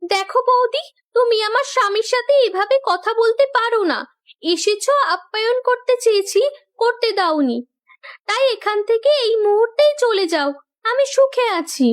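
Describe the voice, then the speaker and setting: A woman's voice: very high voice, animated, moderate pace. A woman voicing a determined, loving young landlord's daughter in a cartoon story, speaking in an earnest, insistent manner. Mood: firm.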